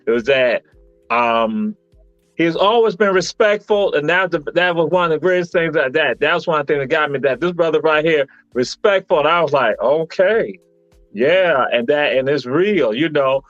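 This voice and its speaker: Guy hosting a sports chat, rambles a lot, kinda high voice, dry sound. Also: lively intonation, moderate pace, a bit bright, no room sound, dull audio, faint music behind. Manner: rambling, with many restarts. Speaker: show host. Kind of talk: casual chat.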